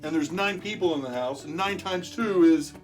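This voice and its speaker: A storyteller reading a rhyming picture book aloud to children in an expressive way, stretching words for emphasis and chatting with young listeners. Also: mid-range voice, moderate pace, soft background music, low-quality recording. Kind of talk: animated children's read-aloud of rhyming verse.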